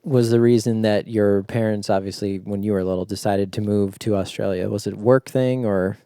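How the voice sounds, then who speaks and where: A young American man hosts a podcast interview in an easygoing, conversational way. His voice is low and mellow with a smooth, clear tone, recorded close and dry. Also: steady tone, normal speed, no echo. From United States. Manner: easygoing, many fillers and agreements. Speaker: podcast host. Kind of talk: casual interview.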